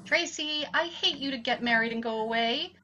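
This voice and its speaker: A woman's voice: high voice, moderate pace, pushed and bright. A woman playing a mischievous young girl, an artless little sister telling tales eagerly in a radio play, on a dull recording. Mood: eager, teasing. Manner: teasing, eager storytelling. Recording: dull lo-fi sound, faint noise.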